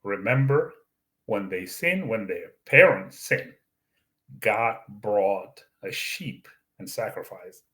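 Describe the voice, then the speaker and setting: A man whose first language is Arabic talks through a Bible teaching at a very slow, careful pace. His voice sits somewhat low. He pauses often, fills the gaps with "uh," and restarts his sentences. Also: animated, a bit bright. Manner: slow, deliberate, with frequent fillers and restarts. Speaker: YouTube channel host.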